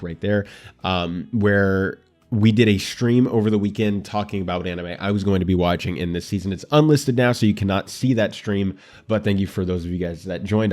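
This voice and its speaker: A man's voice: deep voice, a little mellow. A man hosting a casual anime commentary show, congested and nasal from allergies, with a dry throat, chatting straight to his viewers. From United States.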